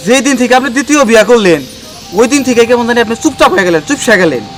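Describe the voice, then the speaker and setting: A man's voice: very high-pitched, expressive, racing, faint music behind. A man with a Bangladeshi accent playing a meddling, cowardly household servant in a comedy drama, deferential and chatty. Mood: curious. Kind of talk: Bengali comedy drama acting.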